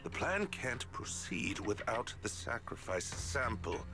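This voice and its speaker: A man's voice: fairly low pitch, big pitch swings, a little slow. An actor playing a cynical, scheming male politician in a video game, able to sound nobly declamatory or curt and irritable. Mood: irritated, scornful. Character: cynical male politician rehearsing a noble speech, scheming behind it. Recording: dramatic music under the voice.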